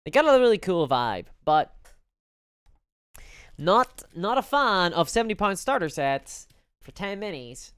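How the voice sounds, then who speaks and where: Low-voiced, lively Belfast woman. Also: unhurried, long pauses, clean tone, no room sound. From Northern Ireland. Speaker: live streamer. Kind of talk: casual chat to a live audience.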